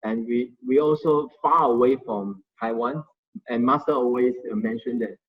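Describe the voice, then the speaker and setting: A man giving an informal Buddhist study talk, speaking haltingly and often restarting as he searches for words. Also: medium pitch, phone-quality sound. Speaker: Dharma sharing volunteer presenter.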